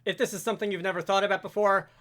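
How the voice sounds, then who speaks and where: Bright-voiced man giving a casual coding tutorial. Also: sits high, steady tone, rapid-fire. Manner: explanatory, conversational, informal. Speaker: tutorial presenter.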